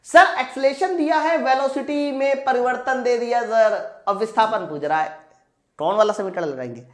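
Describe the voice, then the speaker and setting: A woman teaching an exam-prep science class, emphatically working through questions, prompting students and repeating key points. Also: a little high. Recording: roomy echo.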